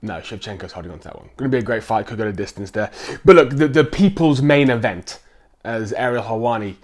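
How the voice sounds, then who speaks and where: Croaky man talking fights. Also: mid-range voice, expressive, quick pace. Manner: chatty, with frequent ums and restarts. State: croaky with a chest infection. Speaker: sports vlogger. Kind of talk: casual chat to camera.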